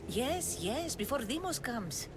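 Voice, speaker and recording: An actor playing a poised cult conspirator in a video game, measured and dryly superior. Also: high-pitched, big pitch swings. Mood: knowing. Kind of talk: dramatic video game acting. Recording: loud ambient noise behind voice.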